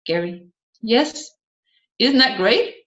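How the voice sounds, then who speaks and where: A woman narrating an audiobook of a seminar in a deliberate, emphatic, questioning manner. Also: on the high side, expressive, a bit bright. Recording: slightly dull, roomy echoing sound.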